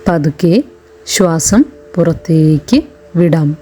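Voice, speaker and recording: A woman leads a guided meditation in a soft-edged, mellow voice that sits fairly low. She is very unhurried, leaving long pauses between short, soothing phrases. Music plays behind her. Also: fairly even intonation. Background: background music.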